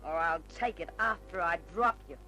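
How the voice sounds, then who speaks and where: An actress playing a desperate, spiteful double-crossing woman in an old radio detective drama, snappish and sharp. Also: fairly low pitch, normal speed, slight background noise, low-quality recording. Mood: threatening, urgent.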